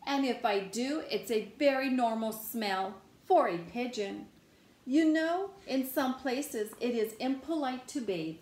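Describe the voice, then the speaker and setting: A woman's voice: fairly high pitch, unhurried, darkish tone, natural voice. A woman voicing a stubborn, defensive, dramatic pigeon from a children's picture book, stretching words with exclamatory mock indignation. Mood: indignant, defensive. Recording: roomy indoor echo.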